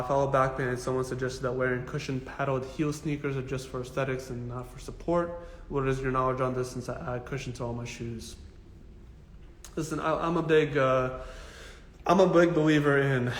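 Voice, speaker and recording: A man giving casual, informal health advice as a physical therapist answering questions, in a blunt, jokey, animated way with emphatic repetition. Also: mid-range voice, slight background noise. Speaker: physical therapist hosting a live Q&A. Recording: echoey, reverberant room.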